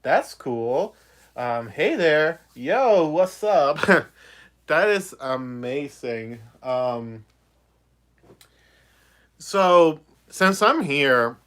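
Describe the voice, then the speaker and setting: Chatty young man with a bright voice. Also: mid-range voice. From Puerto Rico. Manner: chatty, rambling, many fillers. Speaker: puppeteer vlogger. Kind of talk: casual livestream chat. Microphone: phone on a ring light.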